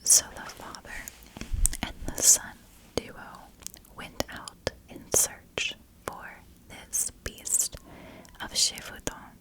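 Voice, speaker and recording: A woman tells a story in a soft ASMR whisper, with no voiced tone at all. Her delivery is very slow and deliberate, breaking the narration into short, measured phrases. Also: rough recording. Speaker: ASMR storyteller, channel host.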